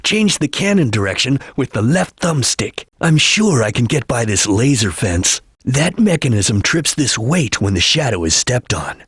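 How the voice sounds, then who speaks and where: Husky, serious ninja chameleon. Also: male voice, medium pitch, very animated, normal speed, hardly any pauses, fairly bright tone, no room sound, clean studio sound, exaggerated voice. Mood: focused, serious. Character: serious, disciplined male chameleon ninja detective, calm and watchful. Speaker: video game character giving hints. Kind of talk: video game voice acting, instructional hints.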